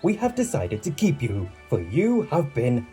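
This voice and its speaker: A man's voice: mid-range voice, huge pitch swings, a little slow, dark tone, soft background music. A man playing a kindly island boss in an audio drama, courteous, encouraging and a little formal. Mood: pleased, welcoming. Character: kindly male island boss reassuring young workers. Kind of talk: scripted audio drama dialogue.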